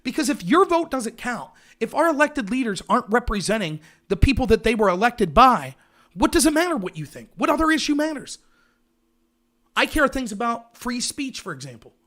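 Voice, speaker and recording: High-voiced sarcastic man ranting. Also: big pitch swings, normal speed, pushed and bright. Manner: emphatic, sarcastic, rhetorical questions. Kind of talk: political livestream rant.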